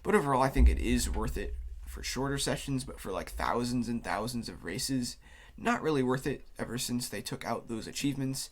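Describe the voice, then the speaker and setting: A man's voice: mid-range voice, fairly quick. Casual, rambling man with a cold. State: has a cold, tired. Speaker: YouTube host. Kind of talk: casual unscripted explainer vlog.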